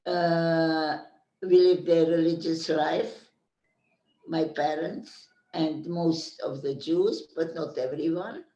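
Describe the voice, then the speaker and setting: An older woman from Carpathian Ruthenia, remembering her hometown in an oral history interview, pausing often to search for words. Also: deep voice, fairly even intonation, mellow, distant mic. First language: Hungarian. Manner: slow, searching for words with many pauses. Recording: echoey room, speaker off mic.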